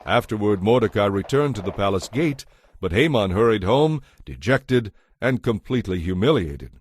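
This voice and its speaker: A man narrating a dramatized Bible in a measured, scripted storytelling style. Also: lowish voice, animated, a little hiss or hum.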